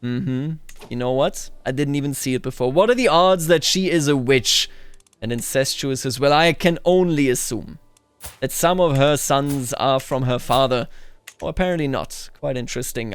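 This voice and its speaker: A man's voice: medium pitch, expressive, normal speed, clear, resonant tone, dry recording. A man hosting a roleplay strategy game video, musing aloud in a theatrical way and slipping into character. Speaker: gaming video host. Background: game music.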